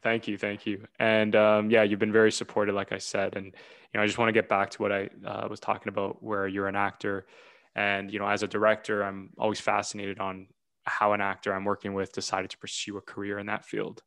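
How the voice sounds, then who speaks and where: Low-voiced man, even and casual. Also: a little fast, no room sound. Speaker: host and film director. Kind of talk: casual conversational interview.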